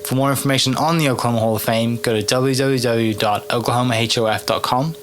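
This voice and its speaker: A man's voice: mid-range voice, fairly even intonation, fast talker, a little hiss or hum. A man hosting a podcast, chatty and upbeat, reading sponsor spots and welcoming a guest. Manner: upbeat, chatty, uses fillers. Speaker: podcast host.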